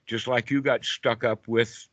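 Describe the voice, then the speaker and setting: A man's voice: mid-range voice, level tone, measured pace, a bit bright, no room sound. An older man teaching informally as a Buddhist teacher, in a jovial, colloquial way with rhetorical check-in questions. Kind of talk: informal dhamma talk in a group call. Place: online group video call. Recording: dull lo-fi online call audio.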